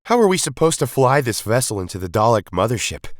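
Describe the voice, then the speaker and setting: A man's voice: medium pitch, big pitch swings, fast, no echo, clean studio sound, voice pushed up, natural voice. A man voicing a distrustful male rebel leader in an audio story, challenging and emphatic. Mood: disbelieving.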